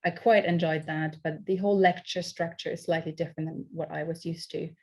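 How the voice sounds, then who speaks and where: Young woman, low-ish voice, video call panel, earnest encouraging advice. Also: fairly even intonation, fairly quick, darkish tone. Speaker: panel speaker, student.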